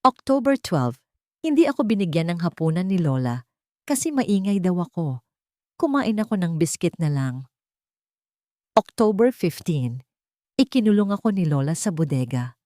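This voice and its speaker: A woman's voice: lowish voice, big pitch swings, normal speed, pauses often, a little mellow, dry recording, clean studio sound. A woman voicing a frightened, lonely young child's journal in a dramatic story, plaintive and simply worded. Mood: sad, plaintive.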